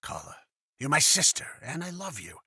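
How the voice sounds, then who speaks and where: A man's voice: fairly high pitch, huge pitch swings, moderate pace, creaky, pushed and bright, slightly rough, no room sound, clean studio sound, full character voice. A man voicing a cold, guarded crime boss.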